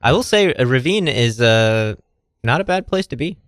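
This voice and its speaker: A man's voice: mid-range voice, lively intonation, normal speed, a bit bright, no echo, studio quality. A man co-hosting with casual improvised banter, agreeable and playing along. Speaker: guest co-host.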